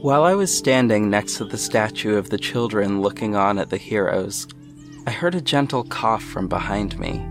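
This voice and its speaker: A man playing a reflective, earnest city hero who narrates an audio drama in the first person, in a measured storytelling way. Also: lowish voice, lively intonation, over music. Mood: earnest, proud.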